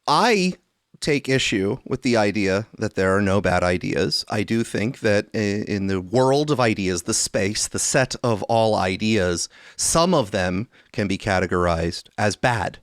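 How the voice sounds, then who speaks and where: A man's voice: lowish voice, lively intonation, unhurried, dry recording. A man hosting a podcast, giving casual political commentary in a dry, mock-earnest, pedantic way. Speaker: podcast host.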